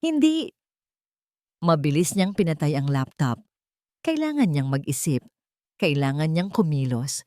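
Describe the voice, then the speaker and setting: A woman narrator with a fairly low voice reads a scripted romantic drama. The recording is dry and close, with very little room sound. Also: lively intonation, moderate pace, mellow, no echo.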